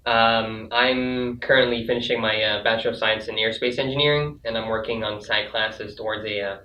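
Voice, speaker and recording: Relaxed young man, dull echoey sound. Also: lowish voice, brisk, distant mic, slight background noise. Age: young adult. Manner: relaxed, wry, hesitant with fillers. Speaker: student. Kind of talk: casual panel chat.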